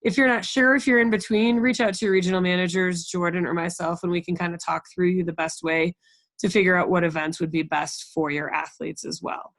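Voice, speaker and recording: A woman's voice: lowish voice, steady tone, a little fast, a bit bright, no room sound, studio quality. An American woman leading a conference call as a program coordinator, giving an informal, encouraging briefing and often checking in with the group. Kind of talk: informal instructional briefing to coaches.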